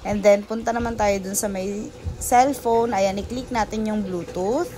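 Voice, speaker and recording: A Filipino woman presents an informal hands-on tutorial, switching between Tagalog and English as she speaks straight to viewers. Her voice sits at an average female pitch. The recording carries noticeable background noise. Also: steady tone, measured pace, warm tone. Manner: informal, addresses viewers directly. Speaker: product tutorial vlogger. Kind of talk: casual hands-on product tutorial in Taglish.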